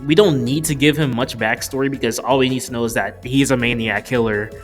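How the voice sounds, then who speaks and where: A man's voice: medium pitch, fairly even intonation, a little fast, soft background music. A man narrating a casual, joke-filled video review in a wry, profane, mock-exasperated way. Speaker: movie reviewer.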